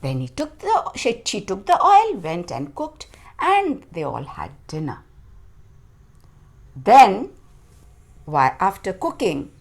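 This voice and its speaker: A woman storyteller narrating for children with dramatic, deliberate emphasis and questions to her listeners. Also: fairly low pitch, vocal fry.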